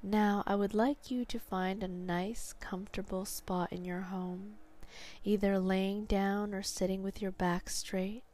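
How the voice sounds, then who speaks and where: Slow, soft-spoken woman guiding sleep hypnosis. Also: mid-range voice, little pitch movement. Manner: soft, slow, soothing, partly whispered. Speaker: hypnotherapist host.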